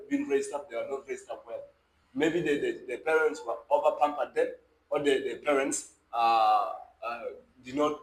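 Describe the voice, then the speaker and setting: A young man from South Sudan with a South Sudanese accent gives his opinion conversationally, emphatically checking that the listener follows. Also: mid-range voice. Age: young adult. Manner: emphatic, repeatedly checks listener understands with questions. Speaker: podcast guest. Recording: roomy echo with faint background noise.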